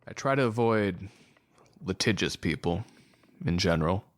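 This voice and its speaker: Guy doing a moody doctor in an audio drama, deep voice, deadpan, clipped and guarded. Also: normal speed. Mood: brooding, guarded. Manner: dry, terse, deadpan. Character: brooding male doctor, guarded, dryly cutting. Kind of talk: understated audio drama acting.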